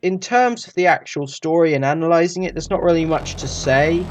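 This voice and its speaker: Higher-pitched man narrating a review. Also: fairly quick, soft background music. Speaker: reviewer and channel host.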